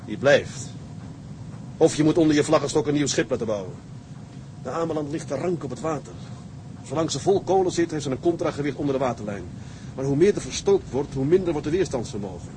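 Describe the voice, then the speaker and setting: An actor playing an earnest, dutiful young first mate in a radio drama, measured, grave and respectful. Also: male voice, expressive, close to an everyday voice. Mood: grave explaining. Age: young adult. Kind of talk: dramatic radio play acting. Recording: engine rumble behind the voice.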